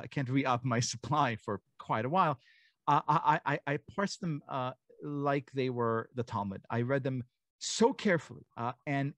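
A middle-aged man from Israel, speaking from a home study on a video call, telling stories thoughtfully with many restarts and lively quoted voices. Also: mid-range voice, no room sound. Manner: reflective storyteller, many restarts and fillers, animated quoting. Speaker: author and guest speaker. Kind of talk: online lecture conversation. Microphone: desk mic on a video call.

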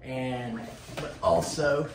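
A middle-aged man giving a casual, unscripted show-and-tell, halting and pausing mid-sentence. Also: medium pitch, background noise. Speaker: retro computer vlogger. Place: dining room at home, indoors.

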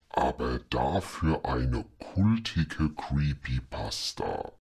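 A man playing a sinister, darkly amused horror host who speaks in a gravelly, menacing, mock-charming way. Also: very deep voice, pitched below the performer's own voice, full character voice. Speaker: host of a horror story show. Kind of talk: theatrical horror show introduction.

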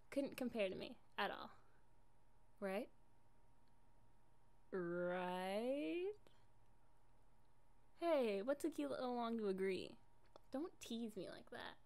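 A woman's voice: a little high, expressive, very slow, long pauses, full character voice. A young woman playing an affectionate, jealous girlfriend in an improvised ASMR roleplay, casual and coaxing in an intimate way. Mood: teasing, pouty, then tender, admiring.

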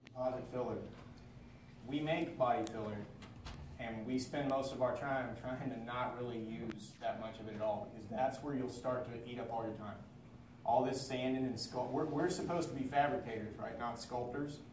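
A man's voice: mid-range voice, steady tone, normal speed, darkish tone. A man giving a plain, explanatory talk on car fabrication in a large conference room. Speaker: fabrication instructor. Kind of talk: trade seminar talk. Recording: roomy distant camera sound with background noise.

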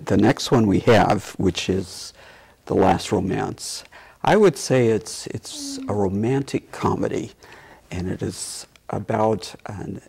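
A middle-aged man with a husky, creaky voice that sits fairly low. He chats informally as a guest in a studio interview about his theater work. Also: a little mellow. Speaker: theater representative and director, talk show guest. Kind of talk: casual studio interview chat. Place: TV studio, seated at a table.

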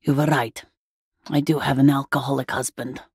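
A woman playing a weary, guarded working class wife and mother in a video game, husky, plain-spoken and exasperated. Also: very deep voice, level tone, moderate pace, darkish tone, no room sound, clean studio sound, full character voice. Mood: weary, insistent. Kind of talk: video game character dialogue.

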